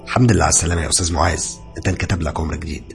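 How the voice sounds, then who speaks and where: A man voicing a calm, reassuring young hospital doctor with Egyptian Arabic speech, polite and conversational, in an audio story. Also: extremely low pitch, rapid-fire, creaky, faint music behind, pitched below the performer's own voice.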